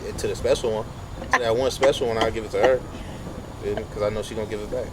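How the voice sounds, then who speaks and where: A man's voice: lowish voice, steady tone, quick pace, heavy background noise. A man in his late twenties from San Francisco, a rapper talking earnestly in a casual interview, often saying "you know what I'm saying.". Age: 20s.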